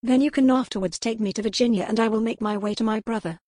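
A defiant young noble lady, as a woman narrator puts her on, earnest and impassioned in formal old-style speech. The voice sits a little higher than usual. It is recorded close and dry. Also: fast talker, dark tone, dry recording, natural voice.

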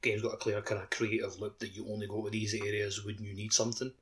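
A man's voice: on the low side, flat delivery, fast talker, fairly bright tone. A man co-hosting a podcast, thinking aloud about a video game with lots of likes. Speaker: podcast co-host. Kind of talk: casual game discussion.

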